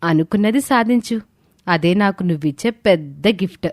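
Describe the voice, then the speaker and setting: A woman's voice: fairly low pitch, lively intonation, moderate pace, a little mellow, no echo, clean studio sound. A woman playing a caring, encouraging teacher who explains things patiently and firmly in an audio story. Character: Bharathi, caring, encouraging female teacher and mentor. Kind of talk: scripted audio story dialogue.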